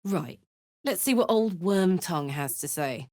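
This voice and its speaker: A woman's voice: fairly low pitch, lively intonation, a little slow, darkish tone, no echo. Brash, big-hearted warrior woman, dry close sound. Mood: wry, musing. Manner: informal, blunt, exclamatory, swings from playful to tender. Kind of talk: video game character voice lines.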